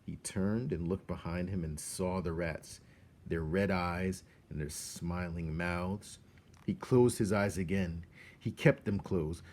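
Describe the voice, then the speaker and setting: A man reading a children's novel aloud as dramatic narration, deliberate and suspenseful, with pauses for effect. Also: low voice, a little mellow. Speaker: storybook reader.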